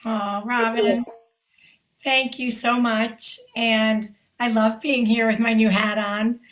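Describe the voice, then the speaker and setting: A hoarse woman giving chatty, effusive off-the-cuff remarks over a video call, full of rambling asides. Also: fairly high pitch, level tone, takes it slowly, a bit bright, reverberant. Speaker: federation volunteer leader, featured speaker. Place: at home. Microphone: video call mic.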